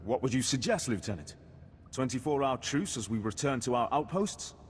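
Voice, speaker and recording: An actor playing a grave, wry Imperial captain in a video game, speaking formally and in measured phrases. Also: male voice, medium pitch, expressive, big-room sound, slight background noise, close to an everyday voice. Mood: wry, guarded.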